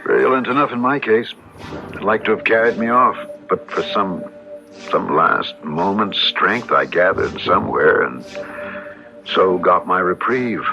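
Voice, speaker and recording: Melancholy convalescent man, deliberate literary phrasing, old-time radio drama, low voice, music underneath. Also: expressive, gravelly, full character voice. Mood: troubled, weary. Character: haunted, melancholy man recovering from illness, narrating his tale. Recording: muffled old radio recording, music behind.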